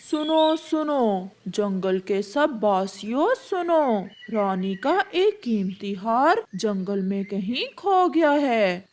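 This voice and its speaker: A woman voicing a dutiful male pigeon who serves as royal guard and crier, with a formal announcing manner. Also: medium pitch. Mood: proclaiming.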